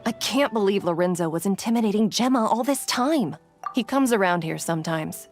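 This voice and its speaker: Thoughtful townswoman, actress voice, bit of fry, mid pitch. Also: expressive, brisk, faint music behind, lightly acted. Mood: pensive. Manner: musing, thoughtful.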